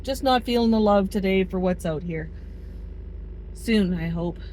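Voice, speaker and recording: A woman's voice: medium pitch, a little slow. Woman musing aloud over vehicle noise. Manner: musing aloud, self-deprecating. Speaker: vlogger driving a forest road. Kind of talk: casual solo vlog chat. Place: inside a moving van. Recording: steady car engine and road noise.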